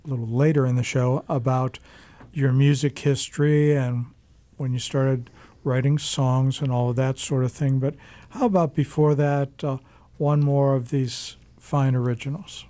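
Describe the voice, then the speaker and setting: A man's voice: mid-range voice, steady tone, moderate pace, warm tone. A man hosting a live radio show in the studio, asking a guest easygoing questions. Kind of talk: studio interview.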